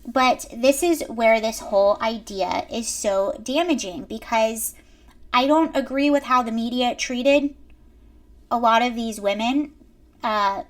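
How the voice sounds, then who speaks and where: A young woman with a high-pitched voice giving emphatic commentary straight to her viewers. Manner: emphatic, lecturing, addresses viewers directly. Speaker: commentary host. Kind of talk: reaction commentary to camera.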